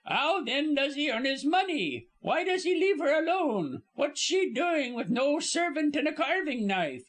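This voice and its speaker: Man acting gossipy Cockney servant woman, high-pitched, swooping, indignant, wordy, storybook character. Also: very animated, unhurried, fairly bright tone, exaggerated voice. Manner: wordy, emphatic, dropped aitches.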